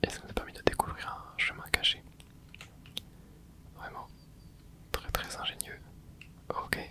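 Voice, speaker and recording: A man whispering casual commentary as he plays a video game for an ASMR channel, dropping small interjections and explaining the game to his viewers. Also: unhurried. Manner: soft whispering with little interjections while playing. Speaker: ASMR gaming channel host.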